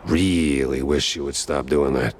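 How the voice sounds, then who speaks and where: An actor playing a hard-boiled, world-weary private detective mouse in a noir game, deadpan and sardonic. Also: male voice, a bass voice, measured pace, slight background noise. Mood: dry, weary. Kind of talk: noir game narration and dialogue.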